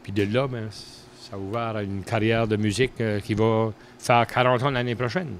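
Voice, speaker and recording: A man with an Acadian French accent from New Brunswick, a musician answering questions in a relaxed podcast chat, with lots of "ouais" and fillers. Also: on the low side, moderate pace, slight background noise. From southeastern New Brunswick. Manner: laid-back, short answers with frequent "ouais" and fillers. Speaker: guest musician. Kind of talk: casual podcast interview. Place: pub.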